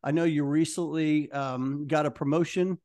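A man's voice: fairly high pitch, steady tone, moderate pace, a little mellow. A man hosting a leadership podcast, chatting with a guest in an upbeat, enthusiastic way. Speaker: podcast host. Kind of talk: casual interview chat.

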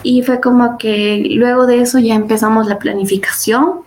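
A woman's voice: a little high, steady tone, normal speed, a little mellow, slight background noise. A young woman, a podcast guest, chatting casually and retelling events with many fillers and quoted asides. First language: Spanish. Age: young adult. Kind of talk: casual interview storytelling.

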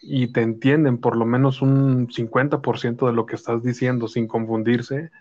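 A man from Jalisco with a Mexican accent, giving calm, measured replies in a casual chat. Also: mid-range voice, highs cut off.

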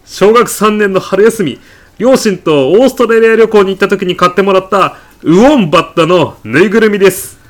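A man plays an eccentric supermarket customer in a comedy radio sketch. He is insistent and absurdly self-assured, pressing his case like a salesman, with very lively swings of pitch. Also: normal speed. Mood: smug, indignant. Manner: insistent, indignant salesman-like patter. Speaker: podcast actor. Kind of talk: comedy radio drama acting.

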